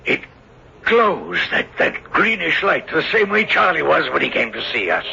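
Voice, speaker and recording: A man playing a gruff, wary older countryman, halting in speech, in a radio drama. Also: on the high side, big pitch swings. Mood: awed, frightened. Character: gruff older countryman, a father, uneasy cart driver. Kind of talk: 1970s radio drama acting. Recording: dull, very noisy vintage radio broadcast.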